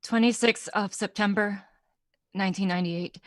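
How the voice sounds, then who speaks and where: An actress playing a woman prisoner who gives a written confession in a formal, deliberate reading. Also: mid-range voice, fairly even intonation, no room sound, studio quality, natural voice. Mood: grave, resolute.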